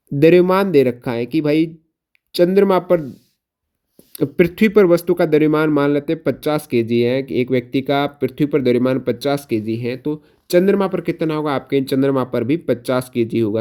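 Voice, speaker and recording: A man's voice: a little high, warm tone, clean tone. A man working as an exam coaching teacher, explaining questions to students, repeating answers for emphasis and mixing in English technical terms.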